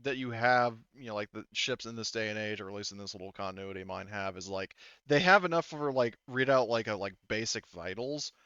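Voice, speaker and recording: A man speaking casually as a game master, haltingly explaining and planning the game as he goes. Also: lowish voice, pushed and bright, clear, resonant tone, no room sound. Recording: slightly dull, dry close mic.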